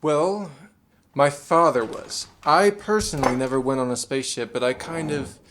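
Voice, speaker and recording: A man's voice: a little high, moderate pace, noisy background, lightly acted. A man playing a nervous, reluctant young technician in an audio drama, hesitant and easily exasperated.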